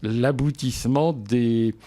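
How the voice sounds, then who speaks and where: A man speaking as a local official in a radio interview, explaining things in measured, formal phrasing. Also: mid-range voice, dark tone.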